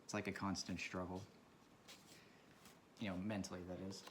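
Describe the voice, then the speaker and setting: A man with a naturally low, deep voice talks softly and unhurriedly, drifting from thought to thought as he sketches. The sound comes from the camera's own microphone, with a faint hiss underneath. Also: little pitch movement, normal speed. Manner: speaks quietly, rambling and reflective. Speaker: vlogger. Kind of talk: casual talk while drawing. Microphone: camera mic from a propped camera.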